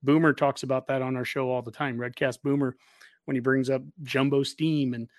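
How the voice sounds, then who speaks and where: A man's voice: mid-range voice, little pitch movement, brisk, dry recording. A man talking casually and effusively as a sports podcast host, full of enthusiasm for his subject.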